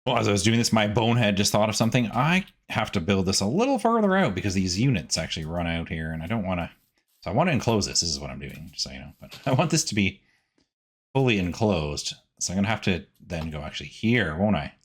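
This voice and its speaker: A man hosting a gaming video, giving casual, chatty gameplay commentary and thinking out loud as he goes. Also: fairly low pitch, very animated, fast, husky.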